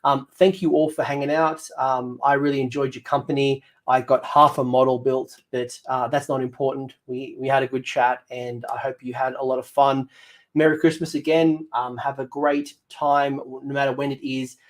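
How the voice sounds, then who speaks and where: A man losing his voice, hosting a casual hobby livestream and thinking out loud with lots of ums and restarts. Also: medium pitch, flat delivery, normal speed. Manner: rambling, thinks aloud with many ums and restarts. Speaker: livestream host.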